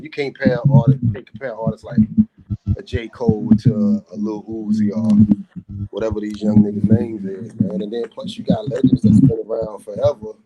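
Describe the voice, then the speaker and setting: A man in casual podcast chat, talking in a relaxed, halting way and ending his lines with "man". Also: on the low side, lo-fi sound, very noisy.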